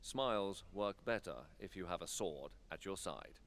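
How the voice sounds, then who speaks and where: A man playing a worried lord of a fantasy council in a video game, measured, formal and guarded. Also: sits low, lively intonation, a bit bright. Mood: guarded, weary.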